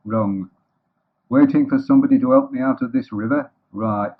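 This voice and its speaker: Man doing a gloomy deadpan husky donkey. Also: mid-range voice, voice pushed up, close to an everyday voice. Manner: deadpan, ponderous, sardonic. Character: gloomy, sarcastic, dignified male donkey, coldly resigned. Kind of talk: children's storybook character voice. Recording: thin phone-like, muddy old recording.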